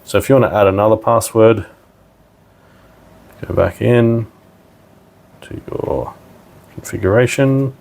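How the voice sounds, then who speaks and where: A man's voice: on the low side, measured pace, creaky, pauses often, darkish tone. A man giving a casual, plain-spoken step-by-step technical demonstration as a tutorial presenter.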